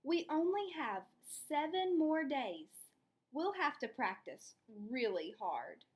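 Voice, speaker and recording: A woman's voice: sits high, big pitch swings, slow pace, dull audio, heavily acted. A woman voicing determined young girls on a soccer team, eager and earnest, in a storybook read-aloud.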